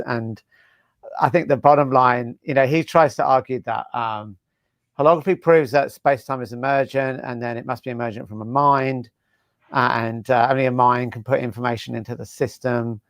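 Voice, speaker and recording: A man speaking as a guest in a casual interview, brisk and a little dismissive. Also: medium pitch, creaky, no room sound. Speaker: guest, co-author.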